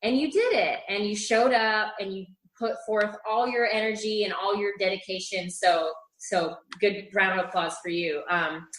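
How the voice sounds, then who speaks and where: A woman's voice: mid-range voice, fairly even intonation, moderate pace, hardly any pauses, a bit bright. Upbeat woman guest in an echoey room. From Scotland. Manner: friendly, upbeat, laughs easily. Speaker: guest, personal trainer. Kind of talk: casual interview chat.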